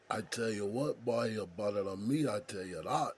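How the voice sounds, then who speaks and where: A man playing a good-humored, folksy prison inmate in an audio drama, with a gravelly, husky voice and colloquial drawl. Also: fairly low pitch, unhurried, slight background noise, natural voice. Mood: amused. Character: folksy, good-humored male jailhouse buddy named Smokey.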